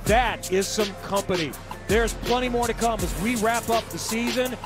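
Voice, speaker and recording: A man with a high-pitched voice hosts a sports broadcast. He speaks in a commentator's style, with loud music playing behind him. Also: moderate pace.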